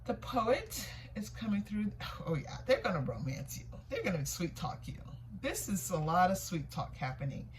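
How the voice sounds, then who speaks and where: A woman's voice: medium pitch, very animated, moderate pace. Woman reading tarot over noticeable background noise. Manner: conversational and informal, repeats herself, muses and questions herself. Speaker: tarot reader hosting a reading. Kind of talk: casual intuitive card reading, thinking aloud. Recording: clear background noise, room ambience.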